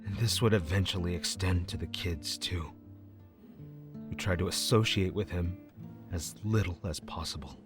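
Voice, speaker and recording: A man's voice: sits low, moderate pace, music in the background, close to an everyday voice. An actor playing a wry, jokey man with a troubled past, talking in a casual, slangy, hesitant way in an audio drama. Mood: reflective, somber. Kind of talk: acted audio drama dialogue.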